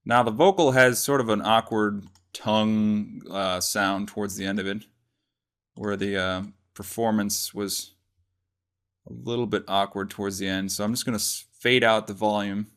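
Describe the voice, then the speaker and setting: Man, lowish voice, tutorial presenter, informal, step-by-step explanation. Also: steady tone, normal speed, a bit bright, smooth. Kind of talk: casual software tutorial.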